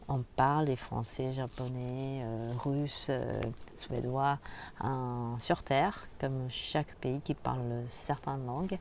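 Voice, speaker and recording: A woman hosting a spiritual channel, talking casually and directly to the viewer in a soft, halting way with frequent hesitations. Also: sits low, slight background noise. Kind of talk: casual spoken vlog monologue.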